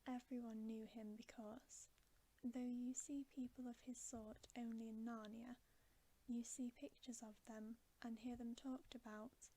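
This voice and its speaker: A woman reads a children's novel as ASMR for bedtime. Her voice is breathy, mostly whispered and mellow. She takes it very gently, at a slow, soothing pace. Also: a little high, flat delivery, a little hiss or hum, rough recording. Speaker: ASMR storyteller.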